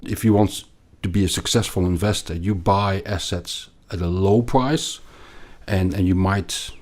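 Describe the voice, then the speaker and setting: A man with a Belgian accent explaining things as an interview guest, haltingly and emphatically, often repeating words. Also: sits low, darkish tone. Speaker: podcast guest, investment analyst.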